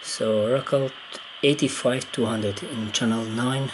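A man who is not a native English speaker gives a casual, unscripted hands-on demonstration, hesitantly thinking aloud in halting phrases. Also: medium pitch, fairly even intonation. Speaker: amateur radio hobbyist demonstrating gear. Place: indoors at a wooden table. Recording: clear background noise on handheld camera audio.

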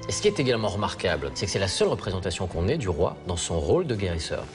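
Quick, low-voiced male presenter. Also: very animated, music in the background. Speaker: travel documentary presenter. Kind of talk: conversational interviewing on location. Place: on location in a stone abbey and outdoors.

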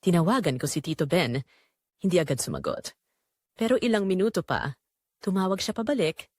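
A woman's voice: lowish voice, lively intonation, fairly quick, darkish tone, no room sound, studio quality. A woman with a Filipino accent reading a first-person scripted drama in a confessional, storytelling manner. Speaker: audio drama narrator.

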